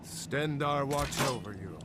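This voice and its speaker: An actor playing a proud, resolute holy warrior in a fantasy game, vengeful, solemn and earnest. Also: male voice, mid-range voice, measured pace, noisy background, natural voice. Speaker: video game character. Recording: loud music behind the voice. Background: loud game music.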